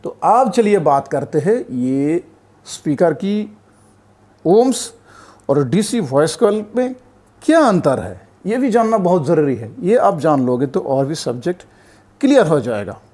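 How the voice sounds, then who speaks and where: Man, high voice, mellow tone, swooping intonation, didactic, repetitive, tutorial delivery. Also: big pitch swings, a little slow. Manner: repetitive, checks in with frequent tag phrases. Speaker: tutorial presenter. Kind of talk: explanatory electronics tutorial.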